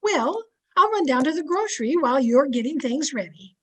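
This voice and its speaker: A dotty, eager neighbour friend played by an actress in an old radio comedy. The voice is high and chirpy, with a gushing, sing-song delivery. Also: female voice, expressive, moderate pace.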